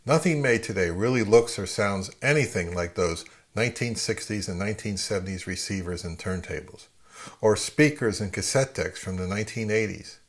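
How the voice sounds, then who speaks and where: A middle-aged man hosting an advice vlog, speaking to camera in an emphatic way with deliberate pauses. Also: lowish voice. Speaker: host of an audio gear channel. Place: home listening room, later an open grassy field.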